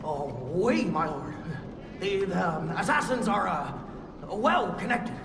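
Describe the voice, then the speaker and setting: A man's voice: sing-song, takes it slowly, creaky, a crowd in the background, exaggerated voice. An actor playing a nervous male servant who stammers and cries out in alarm, in a game cutscene. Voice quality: shrill, stammering. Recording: echoey hall with ambient noise.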